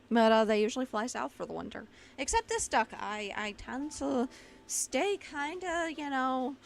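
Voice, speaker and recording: A woman's voice: sits high, gravelly, fairly bright tone. A woman with a mixed Southern and Midwestern accent, chatting casually as she walks through a livestream tutorial, often restarting her sentences. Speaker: Live2D rigging streamer.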